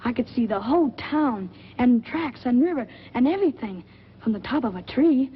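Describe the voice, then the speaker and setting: Mischievous, slangy small boy, high voice, muffled vintage movie sound. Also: expressive, moderate pace, slightly rough, exaggerated voice. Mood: wistful, pally. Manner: slangy, boyish. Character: mischievous, restless small boy, sulky but pally. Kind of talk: 1940s film acting. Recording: dull, noisy old film soundtrack.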